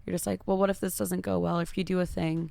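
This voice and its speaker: A woman with a naturally raspy voice, chatting openly and reflectively as a podcast guest, with lots of fillers. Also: lowish voice, level tone, fast talker, mellow, dry recording. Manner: open, reflective, heavy use of fillers. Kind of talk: casual podcast chat.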